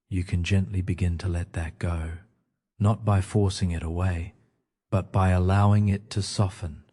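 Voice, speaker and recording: Low, mellow male sleep meditation narrator. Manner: soft, soothing, unhurried phrasing with frequent pauses.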